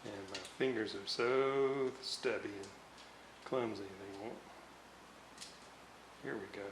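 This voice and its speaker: A man giving an unscripted hands-on demonstration at a workbench, halting and muttering to himself as he works. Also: fairly low pitch. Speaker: radio restorer. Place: indoor room, at a workbench. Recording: roomy, noisy home recording.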